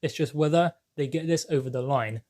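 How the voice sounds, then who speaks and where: Male sports vlogger, slightly high voice, emphatic explainer delivering news commentary. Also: fairly quick, a little mellow. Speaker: boxing news channel presenter.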